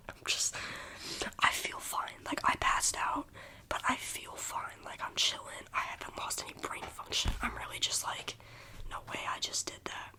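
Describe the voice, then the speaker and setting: Bright high whisper with a slight cough. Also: normal speed, low-quality recording. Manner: rambling, casual, full of "like" fillers. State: slight cough from lingering illness. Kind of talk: whispered ASMR storytime.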